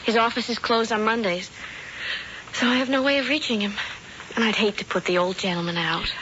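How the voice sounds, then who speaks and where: A woman's voice: fairly high pitch, a little fast, a bit bright, slight background noise, close to an everyday voice. An actress playing a naive young woman in a 1970s radio drama, polite and prone to stammering when flustered. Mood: earnest. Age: young adult. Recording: dull old radio broadcast with music.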